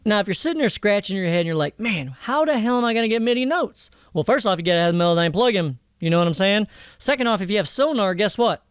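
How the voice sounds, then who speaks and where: A man presenting a casual software tutorial in a slangy, jokey, irreverent way, speaking close to a studio microphone. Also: high voice, lively intonation, fast talker, smooth, no room sound.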